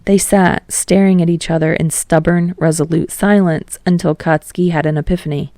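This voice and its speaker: A woman's voice: on the low side, steady tone, normal speed, mellow, no echo, studio quality. A woman reading fiction aloud as an audiobook narrator, in a scripted storytelling style.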